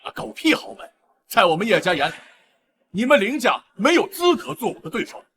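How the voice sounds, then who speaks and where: A man's voice: high-pitched, big pitch swings, brisk, fairly bright tone, heavily acted. A man acting a brash, swaggering middle-aged patriarch in a melodrama, coarse and taunting. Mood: scornful. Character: brash, swaggering rich family patriarch, protective father. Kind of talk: melodramatic short-drama acting.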